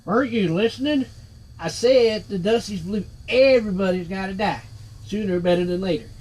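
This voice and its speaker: A man's voice: sits high, brisk, darkish tone, low-quality recording. A man playing a cheeky, sarcastic talking skull. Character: sardonic wisecracking floating male skull. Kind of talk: reading game dialogue aloud.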